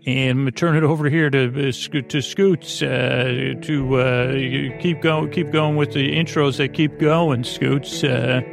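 A man hosting a bedtime podcast, talking in slow meandering tangents full of fillers and restarts, with a creaky voice. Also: mid-range voice, fairly even intonation, over music. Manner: meandering, filler-heavy, self-interrupting, soft. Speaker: podcast host. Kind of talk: rambling bedtime-story podcast talk.

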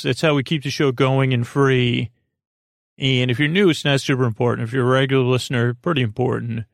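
A man with a creaky, gravelly voice hosting a sleep podcast in a meandering, halting, goofy monologue that keeps interrupting itself. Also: mid-range voice, no room sound, studio quality. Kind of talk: rambling bedtime monologue.

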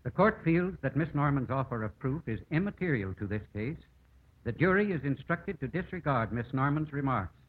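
An actor playing a stern, authoritative judge in a vintage radio drama, speaking formally and officially. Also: male voice, mid-range voice, expressive, moderate pace, natural voice. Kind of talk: 1940s-50s radio drama acting. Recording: tinny, slightly noisy old radio broadcast.

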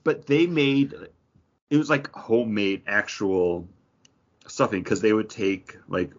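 A man's voice: lowish voice, takes it slowly. An American man co-hosting a podcast in casual, rambling, anecdotal conversation, repeating himself for emphasis. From western New York, United States. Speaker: podcast co-host. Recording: dull, lo-fi audio.

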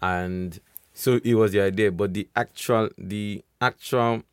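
A man co-hosting a podcast, chatting casually in a relaxed, rambling way. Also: on the low side, a little slow, smooth, no echo. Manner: relaxed, rambling with restarts and laughs. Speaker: podcast co-host. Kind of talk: casual chat.

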